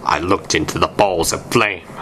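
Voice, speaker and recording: Man, amateur story reader, theatrical, stumbling delivery, low pitch, faint noise. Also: normal speed. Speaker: reader of fan fiction. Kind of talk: dramatic amateur story reading. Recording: noisy amateur recording.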